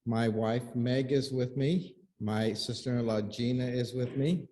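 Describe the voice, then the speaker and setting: A man speaking informally and a little haltingly as a visitor introducing himself to a congregation. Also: medium pitch, steady tone, warm tone, a little hiss or hum.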